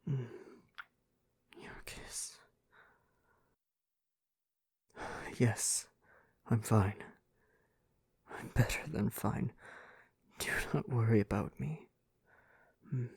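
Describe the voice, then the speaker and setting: A man playing a tender, devoted prince in an intimate ASMR roleplay, soothing and formal in his phrasing, with a breathy voice that often drops to a whisper. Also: medium pitch, mellow, no room sound. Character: tender, devoted prince fiancé back from war.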